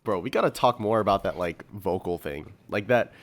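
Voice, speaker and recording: A man's voice: sits low, expressive, normal speed. A young man co-hosting a podcast, chatting casually and telling stories in informal, slangy speech. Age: young adult. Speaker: podcast co-host. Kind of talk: casual chat.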